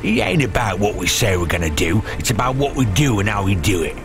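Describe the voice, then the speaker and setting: A brash but good-hearted street gang member in a video game, swaggering through slang. His voice is on the low side and very animated, with music behind. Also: a little fast. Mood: defiant. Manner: slangy, cocky.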